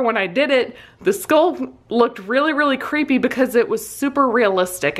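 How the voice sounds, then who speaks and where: A middle-aged woman casually telling a personal story in an amused, self-deprecating, animated way, as a vlogger would. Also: on the high side. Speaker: vlogger and soap maker.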